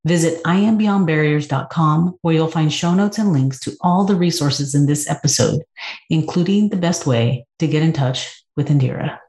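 A woman's voice: low voice, moderate pace, warm tone. A woman hosting a podcast, reading a scripted introduction and then welcoming her guest with enthusiasm. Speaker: podcast host. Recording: roomy echo.

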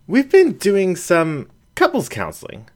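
A shy young raccoon woman, voiced by a male narrator, speaking high and lifted and tripping over her words. She is flustered and open at once, in a storybook reading. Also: wildly swooping pitch, a little slow, darkish tone, full character voice. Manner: hesitant, shy, trails off. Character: bashful, blushing young female raccoon.

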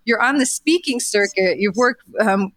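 A woman's voice: medium pitch, lively intonation, moderate pace, fairly bright tone, no room sound. Upbeat woman interviewer, dry close sound. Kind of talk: interview conversation.

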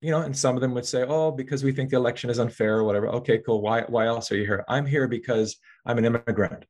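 A man's voice: mid-range voice, fast, darkish tone. A man from California, a filmmaker guest in a political interview, talking earnestly at length and often restarting his words.

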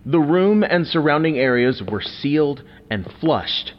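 A man playing a formal, brisk official who presents and questions at a hearing in an audio drama. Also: a little high, lively intonation. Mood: formal, probing. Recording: dull muffled sound, faint noise.

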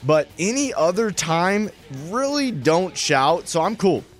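A man's voice: high voice, very animated, slow pace. A young man co-hosting a sports radio show, laid-back and easygoing, rambling through casual banter. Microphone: studio mic with headphones.

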